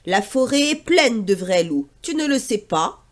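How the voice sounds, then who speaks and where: A woman's voice: fairly high pitch, sing-song, measured pace. A woman voicing a mocking little bird in a storybook, scolding and ironic.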